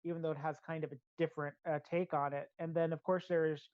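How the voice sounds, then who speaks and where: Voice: medium pitch, little pitch movement, a little fast, smooth, dry recording. Dull-sounding podcast co-host, close and dry. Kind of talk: casual podcast discussion. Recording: dull, lo-fi audio.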